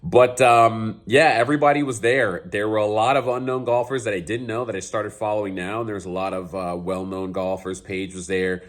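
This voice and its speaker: A man in his thirties talks casually to camera from his garage, his voice a little scratchy from a cold. He rambles with plenty of ums and restarts, in a somewhat low register. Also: fairly even intonation, moderate pace, few pauses. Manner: rambling, many fillers and restarts. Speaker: golf YouTuber. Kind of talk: casual vlog commentary. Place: home garage.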